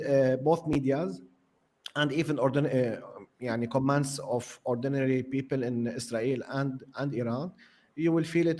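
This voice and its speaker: A man's voice: medium pitch, a little mellow. A man whose first language is Arabic, talking in a casual panel discussion, hesitant, with many fillers and restarts. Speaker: guest commentator.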